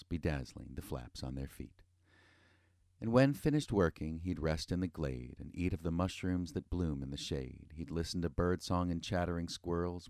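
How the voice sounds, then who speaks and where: Male storyteller, low register, dry studio sound, rhythmic and tongue-in-cheek fairy tale narration in verse. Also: a little mellow, no room sound.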